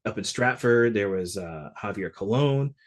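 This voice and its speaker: A man from Connecticut, a guest telling stories about his life in a relaxed, conversational way, with many casual fillers. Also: lowish voice, moderate pace. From Connecticut, USA. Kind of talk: casual interview storytelling.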